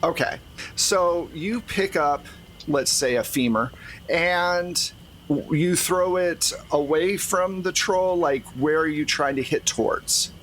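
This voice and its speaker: A man's voice: a little high, takes it slowly, fairly bright tone, low-quality recording. A man narrating an improvised tabletop game as game master, with a playful, teasing way of talking. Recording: faint background noise.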